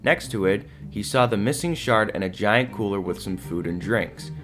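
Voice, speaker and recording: Man, scripted story narration, somewhat low pitch, soft music behind. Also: animated, moderate pace.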